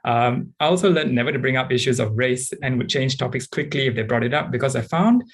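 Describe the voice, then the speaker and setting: A man, frankly and thoughtfully sharing personal experiences as a panelist, often pausing with ums. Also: medium pitch. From Singapore. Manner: reflective and frank, with frequent ums. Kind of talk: candid personal account on a panel.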